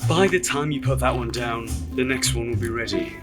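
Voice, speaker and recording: A man's voice: mid-range voice, brisk, over music, close to an everyday voice. A man playing a grumbling young worker helping his family in an audio drama.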